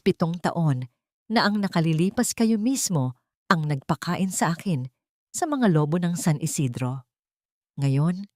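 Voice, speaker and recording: A Filipino-accented woman voicing a dignified, powerful wronged mother with formal, measured speech in an audio story. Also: fairly low pitch, a little mellow, dry recording, clean studio sound.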